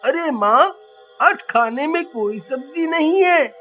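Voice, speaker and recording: A man's voice: very high voice, animated, slow pace, fairly bright tone, exaggerated voice. A man voicing a poor, caring young boy in a moral story, with a childlike, earnest manner. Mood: hungry, questioning. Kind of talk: animated moral story dialogue. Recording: muffled audio with music behind.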